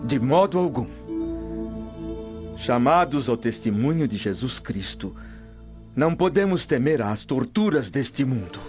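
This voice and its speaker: A man's voice: medium pitch, very animated, measured pace, long pauses, a little mellow. A man with a Brazilian accent playing a devout, dignified freed slave held prisoner, solemn and formal in a radio soap. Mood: solemn, resolute. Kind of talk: radio soap acting in Portuguese. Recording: muffled radio drama with music underneath.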